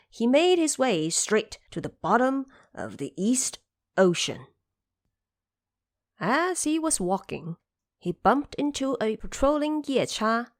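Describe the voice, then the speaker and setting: A woman narrating a scripted story expressively, as the narrator of an audio drama. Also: mid-range voice.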